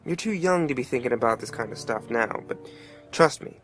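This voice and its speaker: A man's voice: medium pitch, lively intonation, brisk, close to an everyday voice. Man acting a wry, streetwise storyteller over music. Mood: somber, reflective. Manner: wry, folksy, reflective. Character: wry, streetwise male storyteller musing on death. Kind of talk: colloquial scripted narration of motion comic.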